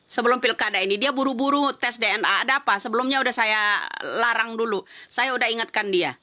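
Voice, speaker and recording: A woman's voice: a little high, fast, noisy background. A woman giving heated live-stream commentary, answering comments with insistent, repeated rhetorical questions.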